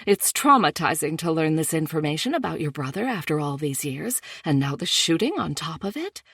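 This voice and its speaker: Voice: mid-range voice, big pitch swings, brisk, gravelly, a bit bright, slightly rough, dry recording, studio quality, pitched above the performer's own voice, heavily acted. A reader putting on a pushy new-age mom. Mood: insistent. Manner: insistent, talks over others. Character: anxious new-age mother, older woman.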